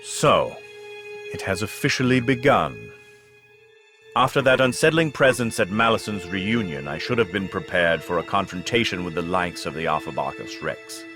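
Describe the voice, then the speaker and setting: An actor playing an ancient, scheming dark lord in an audio drama, with a pompous, formal and menacing delivery. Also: male voice, deep voice, lively intonation, moderate pace. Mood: brooding, scheming. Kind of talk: theatrical audio drama villain monologue. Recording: music behind the voice.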